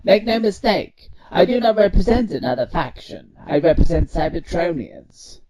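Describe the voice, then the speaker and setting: An actor playing a proud, bitter robot civilian leader in an audio drama, with formal, accusatory speech. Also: male voice, high-pitched, sing-song, normal speed, warm tone. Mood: bitter, accusing.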